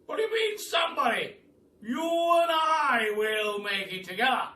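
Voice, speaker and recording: A man voicing an excitable, kindly old aristocratic duke in a storybook, blustering and stammering as he repeats his words. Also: extremely high pitch, unhurried, pitched above the performer's own voice, exaggerated voice. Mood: excited. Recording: echoey room, distant mic, faint noise.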